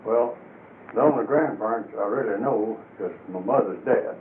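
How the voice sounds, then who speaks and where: A man's voice: on the low side, normal speed, roomy sound. A man in his seventies with an Eastern Kentucky accent, answering interview questions in plain, brief rural speech. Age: 70s. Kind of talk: casual recollection. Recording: tinny lo-fi home tape with hiss.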